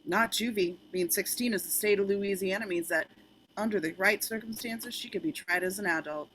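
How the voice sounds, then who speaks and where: A woman plays a cold government official in a table read. She is dry and deliberate, with quiet menace at a medium pitch. There is faint noise behind her. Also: close to an everyday voice, low-quality recording. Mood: veiled threat. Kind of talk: amateur script table read.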